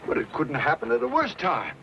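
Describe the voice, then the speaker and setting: A man's voice: sits high, wildly swooping pitch, fairly quick, vocal fry, a little hiss or hum, exaggerated voice. An actor playing a stern, grumbling wagon train boss in an American Western, blunt and folksy in his talk. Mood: exasperated. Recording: dull old recording, faint music behind.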